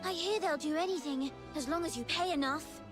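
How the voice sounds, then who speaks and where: Woman as very high-pitched anxious schoolgirl. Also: brisk, close to an everyday voice. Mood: anxious, pleading. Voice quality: girlish. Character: anxious young schoolgirl, pleading and earnest. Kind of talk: video game voice acting. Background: background music.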